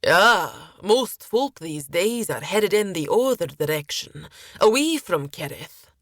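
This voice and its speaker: A gruff, drunken sailor man from a fantasy storybook, as a female narrator acts him out. He is leering and coarse, with menace under the words. The recording is close and dry. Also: lowish voice, lively intonation, takes it slowly, a bit bright, dry recording. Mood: scornful.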